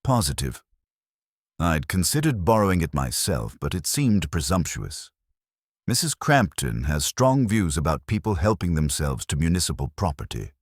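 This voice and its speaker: A man's voice: a bass voice, sing-song, normal speed, husky, dry recording, clean studio sound, heavily acted. A man voicing an observant, courteous council works foreman in a story, precise, deferential and formal. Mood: helpful, precise.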